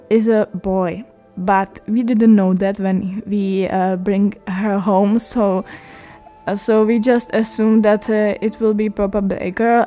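A young woman from the Czech Republic with a Czech accent, narrating her art vlog in a halting way, with many fillers and restarts. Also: mid-range voice, mellow. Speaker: art vlogger. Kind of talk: voice-over narration.

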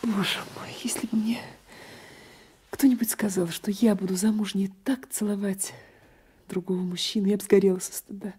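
An actress playing a defiant, lovestruck young peasant wife in a radio play, breathy and fervent, often dropping to a whisper. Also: female voice, medium pitch, steady tone, normal speed, warm tone. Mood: tender, fervent. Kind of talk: 1980s radio play acting.

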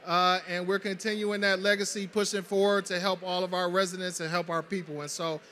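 A man's voice: high voice, little pitch movement, fairly quick, sharp tone. A young man from Detroit, a city council member, joking lightly and listing his duties on a panel, with many "uh"s, into a handheld mic. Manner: jokey, listing many titles, lots of uh. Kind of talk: panel discussion answers. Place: conference stage, indoors.

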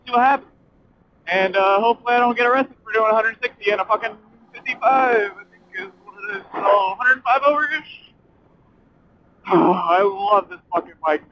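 A young man chatting casually and excitably as a motorcycle vlogger, swearing and using slang as he rambles while riding. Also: high-pitched, normal speed. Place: outdoors, riding a motorcycle at night. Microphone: helmet communicator mic inside helmet. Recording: muffled helmet intercom mic, clear background noise.

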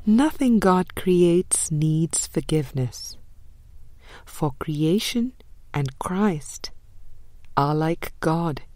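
A woman narrates a spiritual audiobook in a slightly low, mellow voice. She reads very slowly and deliberately, leaving frequent pauses between phrases. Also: lively intonation, no echo. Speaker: audiobook reader. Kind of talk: scripted reading of a spiritual book.

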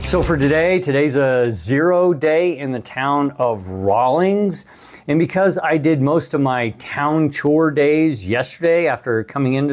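A man of 61 talking casually as a hiking vlogger and interviewer, recorded on a clip-on mic in a small hotel room. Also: fairly high pitch. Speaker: vlogger, thru-hiker interviewing another hiker. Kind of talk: casual vlog talk to camera. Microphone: clip-on lavalier on the chest.

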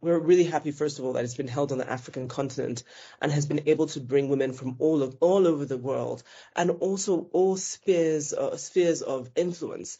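A woman speaks in a warm, relaxed tone and answers interview questions with care. She often backs up and rephrases mid-sentence. The audio is a little dull and muffled. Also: sits low, moderate pace, slightly rough. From Zambia. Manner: thoughtful, self-correcting, with frequent restarts. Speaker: business founder being interviewed.

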